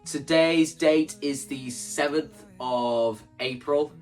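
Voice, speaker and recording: Male video creator, fairly high voice, casual enthusiastic explainer with emphatic repeats, faint guitar music underneath. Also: fairly even intonation, slow pace. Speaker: video creator presenting own stage play. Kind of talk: casual explanatory vlog monologue.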